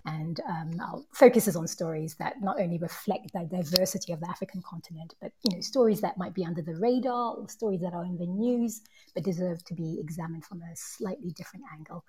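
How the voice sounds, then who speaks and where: A woman's voice: on the low side, fairly quick, darkish tone, a little hiss or hum, low-quality recording. A woman from Zimbabwe, a journalist and presenter, introducing herself conversationally.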